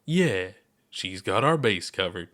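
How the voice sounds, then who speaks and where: A man's voice: low voice, big pitch swings, takes it slowly, fairly bright tone, full character voice. Man as laid-back alien musician Gabbro.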